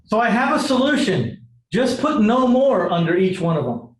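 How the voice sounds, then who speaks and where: A man's voice: high-pitched, big pitch swings, moderate pace. A man hosting a podcast in casual chat, joking and upbeat with his guest. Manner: joking, upbeat, reads ad spots. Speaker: podcast host. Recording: roomy echo.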